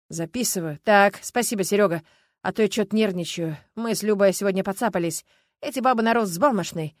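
A woman's voice: medium pitch, no echo, studio quality, close to an everyday voice. A woman doing a curt, angry police captain. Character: furious tough male police captain. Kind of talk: audiobook character voice.

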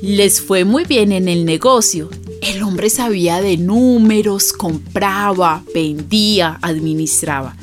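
An earthy Colombian woman storyteller, played by an actress, narrating a radio play in a confiding, colloquial way. She takes her time over each phrase, with soft music underneath. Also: mid-range voice, slow pace, hardly any pauses, natural voice. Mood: fond, reminiscing. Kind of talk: conversational radio drama narration.

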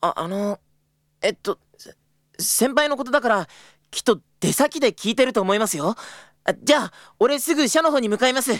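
An actor playing an earnest, easily flustered young junior travel agent in a Japanese audio drama, polite and prone to stammering. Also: male voice, very high-pitched, lively intonation, moderate pace, a bit bright, dry recording, studio quality. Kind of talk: Japanese audio drama acting with intimate narration.

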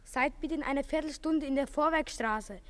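A woman's voice: high voice, normal speed, a crowd in the background, close to an everyday voice. A woman playing a resolute schoolboy gang leader in a 1950s German radio play. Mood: commanding.

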